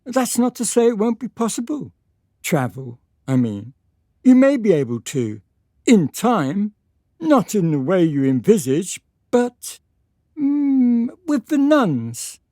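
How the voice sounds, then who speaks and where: Woman playing a slow, stern aristocratic father. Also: medium pitch, very animated, warm tone, no echo, clean studio sound. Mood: placating. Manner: measured, hesitant, patronizing, formal. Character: stern, evasive aristocratic father, a Venetian lord. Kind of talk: period radio drama acting.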